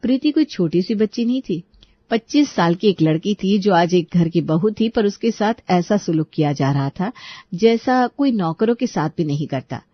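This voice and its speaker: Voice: medium pitch, expressive, moderate pace, no room sound. Storyteller narrating a family drama, close dry sound, bit muffled. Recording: dull lo-fi recording.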